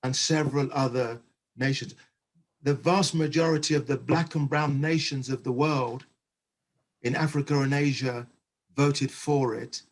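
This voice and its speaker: A male panelist with a slightly husky, rough-edged voice at an ordinary pitch. He speaks in a measured, oratorical manner, leaning on key phrases with emphasis. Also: fairly even intonation. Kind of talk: impassioned panel discussion.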